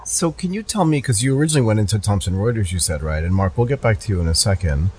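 A man's voice: fairly low pitch, lively intonation, quick pace, a little mellow, a little hiss or hum. A man hosting a podcast, interviewing guests in a casual, chatty, informal way. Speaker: podcast host.

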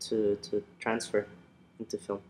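A man from Yemen, a photographer, talking casually as a guest on a podcast, relaxed and full of slang. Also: moderate pace, roomy sound, rough recording. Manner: relaxed, chatty, peppered with slang.

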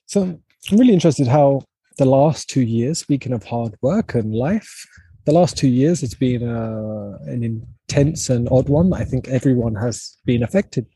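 A man hosting a podcast interview, speaking in a halting way, searching for words and restarting his sentences. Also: mid-range voice, expressive, dark tone, breathy edge, no room sound. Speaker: podcast host. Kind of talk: conversational interview.

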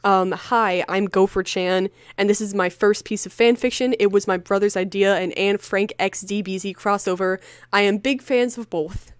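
A woman's voice: medium pitch, steady tone, moderate pace, smooth, dry recording, clean studio sound. A woman hosting a casual group podcast, talking in an informal, sweary, giggly way. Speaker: podcast host.

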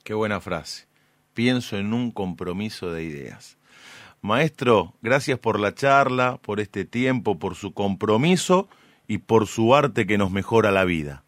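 Low-voiced male radio host. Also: expressive, unhurried, no room sound. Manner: courteous, attentive. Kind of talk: radio interview hosting.

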